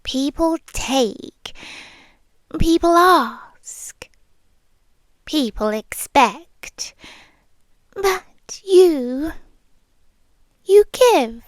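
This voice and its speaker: Casting: woman to play a doting, possessive British female vampire lover for ASMR roleplay, high soft voice, extremely unhurried, often whispering. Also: no room sound, studio quality, heavily acted. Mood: soothing, pitying. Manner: slow, hushed, lingering, coaxing with soft pauses. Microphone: close microphone, dry.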